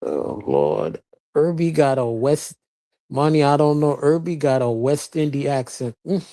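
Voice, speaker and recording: Streamer reading chat, laughs a lot, cusses, mid-pitched voice, super casual. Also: lively intonation, measured pace, dark tone. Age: adult with a child, past teens. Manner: laughs often, swears casually, talks back to chat. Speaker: livestreamer reading viewer chat.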